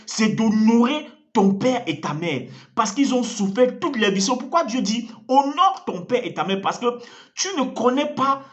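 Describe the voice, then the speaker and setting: A man preaching an online sermon as an evangelist, earnest and fervent, repeating phrases for emphasis, French not his first language. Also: very high-pitched. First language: African language.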